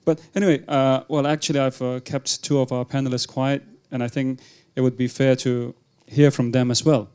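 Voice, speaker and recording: Clear-voiced man moderating a panel. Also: mid-range voice, little pitch movement, normal speed, darkish tone. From Hong Kong. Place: conference function room, indoors. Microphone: handheld microphone.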